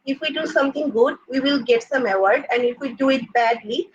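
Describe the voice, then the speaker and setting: Young woman presenting, husky and muffled. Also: high voice, a little fast. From Bangladesh. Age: young adult. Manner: explanatory, frequent "like" and "uh" fillers. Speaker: graduate student presenter. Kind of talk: academic seminar presentation. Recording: dull lo-fi sound.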